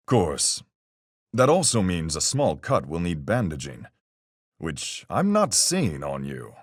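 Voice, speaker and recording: An actor playing a calm seafaring man in a video game, reasoning things through with folksy sailor talk in a husky, gravelly voice. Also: deep voice, no room sound, clean studio sound, exaggerated voice. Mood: measured, reasoning. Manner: folksy nautical speech, measured and reasoning. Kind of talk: video game voice acting, deliberate argument.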